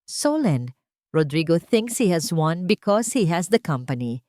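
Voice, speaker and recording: A woman's voice: on the low side, very animated, measured pace, darkish tone, dry recording, clean studio sound. A woman voicing a devoted middle-aged male lawyer in an audio drama, solemn and reassuring, mixing English with Tagalog. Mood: determined.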